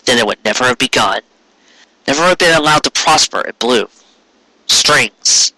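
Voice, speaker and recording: Man, scripted story narration, very bright forceful voice, dry close sound, dramatic measured delivery. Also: medium pitch, breathy edge, dry recording, poor audio. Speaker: amateur audiobook reader.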